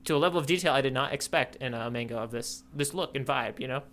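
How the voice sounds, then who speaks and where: A podcast co-host with a bright, forceful voice pitched right in the middle of a man's range. He talks in a loose, rambling way, circling back to find his words as his enthusiasm carries him along. Nothing about his delivery feels scripted. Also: fast, a little hiss or hum. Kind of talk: casual manga discussion chat.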